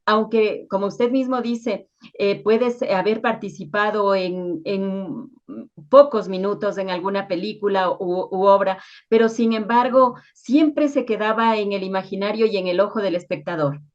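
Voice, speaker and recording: A woman's voice: mid-range voice, a little slow. Female interviewer, journalistic yet conversational questioning, on slightly dull lo-fi audio.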